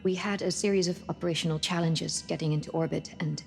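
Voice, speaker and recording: A grave starship officer, her low female voice clearly creaky. She briefs briskly and plainly, with faint music underneath, in sci-fi game style. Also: fairly even intonation. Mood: grave, businesslike. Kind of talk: cinematic game acting.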